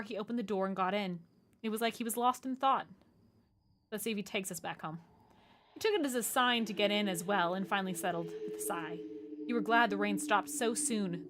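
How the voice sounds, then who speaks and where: A woman streaming a game, reading its text aloud and reacting in an animated, exasperated way, with a hoarse voice. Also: mid-range voice.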